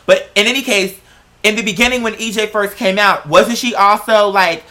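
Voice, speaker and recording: An androgynous-sounding American vlog host chatting casually and gossiping in slangy, sassy style, leaning hard on drawn-out stressed words. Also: mid-range voice, bright, forceful tone.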